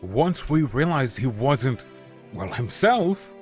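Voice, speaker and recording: A man's voice: mid-range voice, sing-song, over music. A man voicing a courteous village mayor. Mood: earnest. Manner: courteous, measured. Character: polite male village mayor, courteous and earnest.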